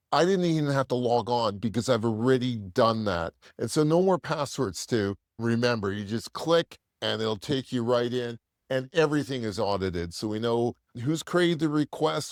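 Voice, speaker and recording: A man's voice: mid-range voice, no echo, clean studio sound. A man presenting a casual product demo in a plain-spoken, explanatory, conversational way.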